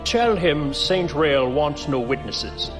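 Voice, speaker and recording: An actor playing a ruthless, coldly calculating military villain in a science fiction audio drama, giving curt commands. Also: male voice, on the high side, animated, slow, over music. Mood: cold, commanding.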